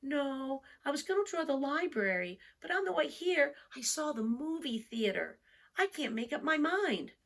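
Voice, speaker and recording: A woman's voice: sits high, big pitch swings, moderate pace, a bit bright, distant mic, voice pushed up, exaggerated voice. A woman voicing Franklin, an earnest, eager and indecisive young schoolboy animal in a storybook, with a childlike, plaintive manner.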